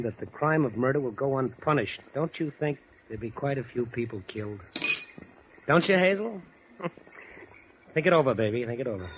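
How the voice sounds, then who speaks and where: A man's voice: medium pitch, lightly acted. An actor playing a wry, cynical young newspaperman in a 1940s radio drama. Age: young adult. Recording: dull, noisy 1940s radio recording.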